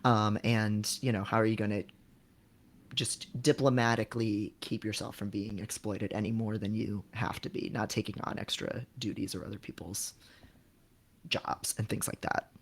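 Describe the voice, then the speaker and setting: A man's voice: lowish voice, level tone, moderate pace. A man hosting a casual livestream, giving frank advice in a conversational, somewhat hesitant way. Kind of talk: casual livestream chat, advising.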